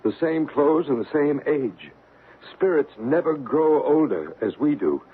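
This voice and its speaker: A man playing a calm, authoritative ghost investigator in a radio drama, measured and formal in his explanations. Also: a little high, husky. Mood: calm, inquisitive. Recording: tinny old radio broadcast.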